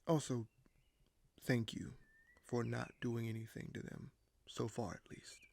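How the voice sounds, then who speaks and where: Weary, gravelly usurper king, acted by a man. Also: mid-range voice, level tone, a little hiss or hum. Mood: dry, diplomatic. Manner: measured, hesitant, formal phrasing with pauses. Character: weary, paranoid young usurper king, dryly candid. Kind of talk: audio roleplay monologue to a silent listener.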